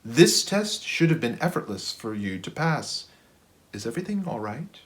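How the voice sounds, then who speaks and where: A fussy, motherly robot caretaker from a children's storybook, voiced by a male reader. She speaks in formal, precise, solicitous phrases and nags gently like a careful parent. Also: mid-range voice, very animated, moderate pace, natural voice. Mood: concerned, reassuring.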